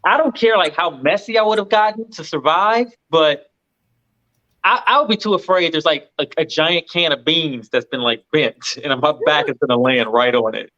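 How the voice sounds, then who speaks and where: A man's voice: fairly high pitch, moderate pace, pushed and bright, muffled recording. A man chatting casually and colloquially on a film review panel, somewhat hesitant in his phrasing. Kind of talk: casual group film discussion.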